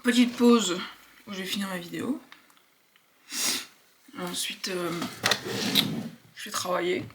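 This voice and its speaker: A woman's voice: mid-range voice, slow pace, pauses often, noisy background. A woman in her twenties chatting casually to her viewers in a slangy vlog style. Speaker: vlogger, university student. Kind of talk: casual vlog chat to camera.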